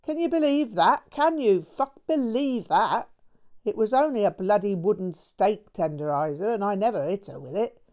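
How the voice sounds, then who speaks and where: A woman's voice: mid-range voice, lively intonation, moderate pace, a little mellow, lightly acted. A woman voicing a brash, foul-mouthed English man who is bitter, manic and full of slang in an audiobook. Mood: ranting. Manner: swearing, slangy, bursts of manic cackling. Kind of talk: audiobook character voice.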